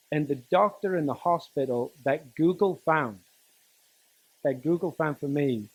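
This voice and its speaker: A middle-aged man from England, giving a personal talk to students in a conversational, halting way with dry humour. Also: a little high, rough recording. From Newcastle, northern England. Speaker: teacher and coach giving a speech to students. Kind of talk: personal motivational talk, storytelling.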